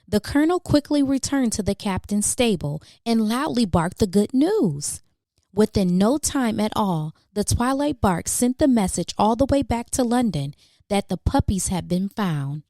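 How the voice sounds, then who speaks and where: A woman narrating a children's storybook expressively, with measured, dramatic emphasis for young listeners. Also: mid-range voice, animated, warm tone, dry recording. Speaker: children's book reader.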